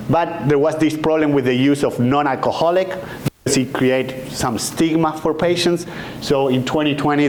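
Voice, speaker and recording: A man's voice: a little high, slight background noise. A man in his forties with an Argentinian accent, a doctor giving an informal patient education lecture into a handheld mic, conversational and often checking in with his audience. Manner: relaxed, conversational, checks in with "okay?" and "right?". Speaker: doctor giving a health talk. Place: conference room, indoors. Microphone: handheld microphone.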